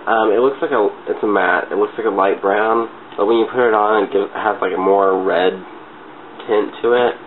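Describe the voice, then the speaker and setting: Stuffy-nosed, low-voiced man on muffled audio. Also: moderate pace. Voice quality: stuffy, congested nose. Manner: informal, giggly, loosely rambling. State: has a cold. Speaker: makeup tutorial vlogger. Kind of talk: casual chatty makeup tutorial. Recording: muffled phone-like webcam-style audio, clear noise.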